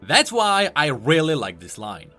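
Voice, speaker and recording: A man's voice: fairly high pitch, huge pitch swings, measured pace, pushed and bright, soft background music. A man giving enthusiastic, emphatic explanatory commentary on video game lore.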